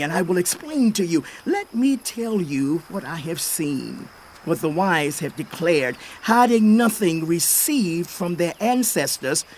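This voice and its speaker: An actor playing a stern aged sage who rebukes and accuses in a deliberate, declamatory dramatized scripture reading. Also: male voice, high-pitched, darkish tone, heavily acted. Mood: reproachful. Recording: faint outdoor insect ambience.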